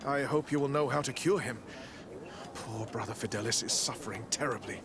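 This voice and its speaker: A man's voice: mid-range voice, a little fast, close to an everyday voice. Man as pious worried cleric, noisy background. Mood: worried, deferential. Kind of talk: video game cutscene dialogue. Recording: clear background ambient noise.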